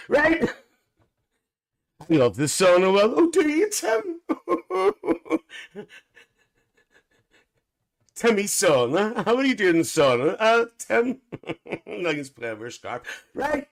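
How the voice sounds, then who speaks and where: A loud, bawdy aunty holds court on a live stream, voiced by a man. The voice sits fairly high and leaps up and down with lively, sing-song swings. She gossips and teases her viewers, calling everyone dear. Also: full character voice. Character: gossipy older woman, a brash chatty aunty. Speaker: livestream host. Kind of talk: live-stream comic chat reading comments.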